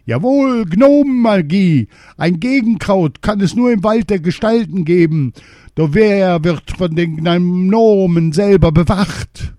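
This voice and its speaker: A man voicing a poisoned, forgetful king who speaks high and excitedly. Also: unhurried, no echo, studio quality.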